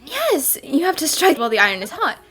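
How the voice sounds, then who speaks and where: A woman's voice: high voice, wildly swooping pitch, a little fast, a bit bright, faint music behind, heavily acted. A woman voicing a cheerful, confident young female detective with a breezy, playful manner in a cartoon dub. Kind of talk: animated series fan dub.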